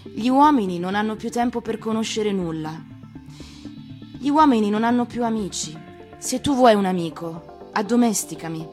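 Gentle she-fox acted by a woman, earnest, patient, emphatic storybook delivery, music behind. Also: medium pitch, animated, moderate pace, pitched above the performer's own voice, lightly acted. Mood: pleading.

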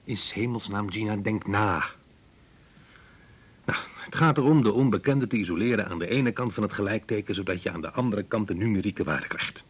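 A stern, critical scientist father in a radio drama. His voice is low and husky, and he lectures with short-tempered exasperation, as if nobody can keep up with him. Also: a little fast. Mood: exasperated, angry. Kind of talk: Dutch radio play acting.

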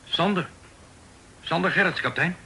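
A man's voice: sits high, moderate pace, creaky, pushed and bright, exaggerated voice, rough recording. A young man acting a respectful second mate of twenty-two, answering briefly in an old radio play. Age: young adult. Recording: old radio recording with hiss.

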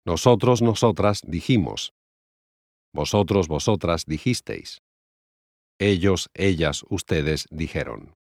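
A man with a lowish voice recites language course drills very slowly. He enunciates each phrase carefully and separately, in a dry, close studio sound. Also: a little mellow, no room sound. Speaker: language course voice reading Spanish examples. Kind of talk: scripted language lesson drill, reciting conjugations.

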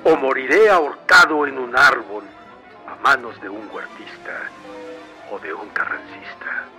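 An actor playing a grave, resolute revolutionary general declaiming in a radio drama. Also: male voice, mid-range voice, expressive, measured pace, bright, forceful tone, soft background music. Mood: grave, defiant.

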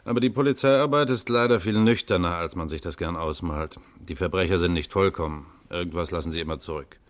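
An actor playing a calm, authoritative police commissioner in a 1950s German radio crime play. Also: male voice, low-pitched, brisk, lightly acted. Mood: reflective. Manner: sober, authoritative.

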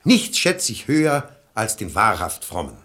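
An actor playing a reasonable, ironic middle-aged brother-in-law in a German verse radio drama, eloquent and argumentative. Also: male voice, fairly low pitch, huge pitch swings, a little slow, fairly bright tone, full character voice. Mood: earnest persuasion. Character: sensible, ironic brother-in-law reasoning against false piety.

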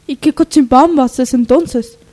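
A woman's voice: high-pitched, measured pace, mellow. A woman playing a plain-spoken, wary maid in a parody radio soap opera. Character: plain-spoken maid with an old secret debt.

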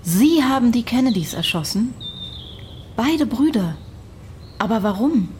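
An actress playing an incredulous female therapist questioning a patient in a radio drama, her voice soft and husky. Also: fairly high pitch, expressive, a little slow, a little hiss or hum. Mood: sceptical, curious.

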